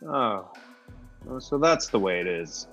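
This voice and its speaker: An actor playing an affable, tough-talking movie gangster star in an old-time radio comedy, with slangy, stammering speech. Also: male voice, on the low side, very animated, a little slow, a bit bright, heavily acted. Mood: alarmed. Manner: slangy, clipped, hesitant stammers. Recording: dull lo-fi audio with music behind.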